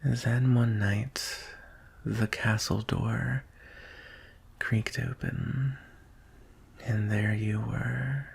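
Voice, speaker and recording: Breathy, slow-spoken man acting a dragon guard. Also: on the low side, steady tone, heavily acted. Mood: solemn, reflective. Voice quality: breathy, husky, often dropping to a whisper. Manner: hushed, deliberate, with long pauses. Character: devoted male dragon guard, solemn, tender, wistful. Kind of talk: ASMR roleplay, intimate slow delivery.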